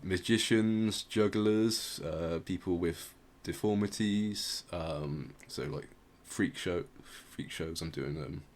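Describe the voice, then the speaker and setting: A man with a very low voice gives an informal music history talk as a podcast host. His delivery halts and restarts as he feels for the right word. There is clear background noise on the recording. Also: slow pace, few pauses, darkish tone.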